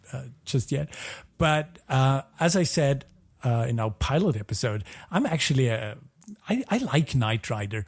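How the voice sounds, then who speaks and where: A man's voice: a little high, a little mellow. A man co-hosting a podcast in casual review chat, hesitantly qualifying his opinions and repeating words as he goes. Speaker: podcast co-host.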